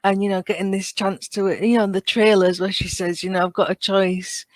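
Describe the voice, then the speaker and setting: A British woman in her thirties chatting casually and telling stories in an animated, chatty way. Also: mid-range voice, moderate pace, no echo. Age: 30s. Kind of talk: casual conversational chat.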